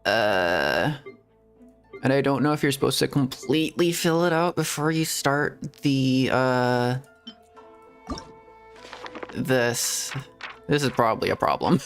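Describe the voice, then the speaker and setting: A man's voice: mid-range voice, vocal fry, fairly bright tone. A man streaming a video game, chatting and rambling casually and laughing often. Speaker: VTuber streamer. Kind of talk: casual gameplay stream commentary. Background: faint game music.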